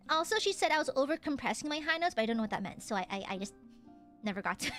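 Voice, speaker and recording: A young woman streamer chatting casually, with a clear, slightly nasal voice. She laughs often. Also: high-pitched, big pitch swings, fast, fairly bright tone, soft background music. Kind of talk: casual chat.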